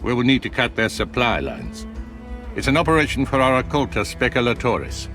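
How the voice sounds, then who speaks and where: An actor playing a confident Roman general and consul, emphatic and authoritative, in a video game. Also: male voice, medium pitch, big pitch swings, fairly quick, fairly bright tone, faint music behind. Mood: commanding. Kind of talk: scripted game dialogue.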